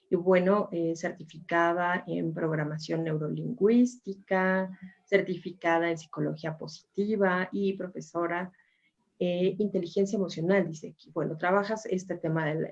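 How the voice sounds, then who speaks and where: A woman's voice: on the low side, gravelly, darkish tone. A woman moderating a webinar, introducing the guests informally with many fillers over a video call. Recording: dull lo-fi video call audio.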